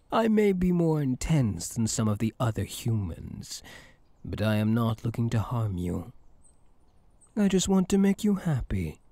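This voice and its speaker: A dark-voiced, possessive male elf acted by a man for an ASMR roleplay, slow and intimate. He coaxes and soothes his beloved with devoted intensity, husky and swooping between low murmurs and lighter tones. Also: no room sound, full character voice. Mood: tender, pleading. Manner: coaxing, soothing, intense, often dropping to a whisper.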